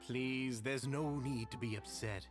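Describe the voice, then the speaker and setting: An actor playing a smooth-talking male enforcer with oily, ingratiating courtesy. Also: mid-range voice, unhurried, natural voice. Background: game music.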